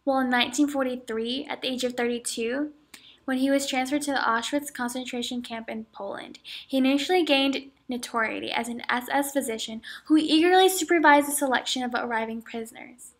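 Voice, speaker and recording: A woman's voice: high-pitched, brisk. A young woman playing a female camp survivor in a student mock interview, reciting her account as if reading a script. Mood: recounting. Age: young adult. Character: woman camp survivor and twin, grave and matter-of-fact. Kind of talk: amateur mock interview role-play.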